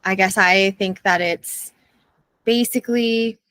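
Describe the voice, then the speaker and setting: A woman's voice: mid-range voice, steady tone, slow, a bit bright, no room sound. A young adult woman speaking as an interview guest, earnest and thoughtful, with frequent fillers. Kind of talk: reflective interview conversation.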